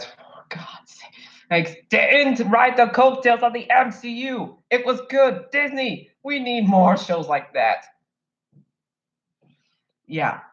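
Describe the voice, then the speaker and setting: Kenyan guy gushing and rambling to camera, kinda high voice, boomy echoey room. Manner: rambling, wanders off topic; enthusiastic fan, emphatic. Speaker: vlogger. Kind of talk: casual movie review monologue. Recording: echoey room, dull lo-fi sound.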